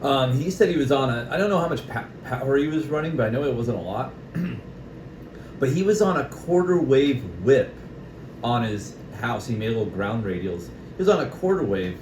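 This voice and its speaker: A man's voice: medium pitch, animated, moderate pace, a little mellow. An American man chatting casually over CB radio as an operator, explaining things and often checking for agreement. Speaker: CB radio operator and video maker. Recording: noisy, echoey room recording.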